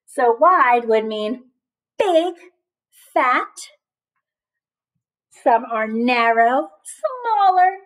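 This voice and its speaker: A woman reading a picture book aloud as a teacher of young children, animated and encouraging, often asking questions and pausing for answers. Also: sits high, low-quality recording.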